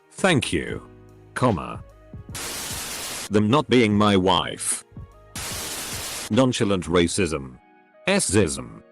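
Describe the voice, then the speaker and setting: A man's voice, synthesized, reading online posts aloud as scripted narration and sometimes speaking the punctuation. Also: on the low side. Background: faint background music.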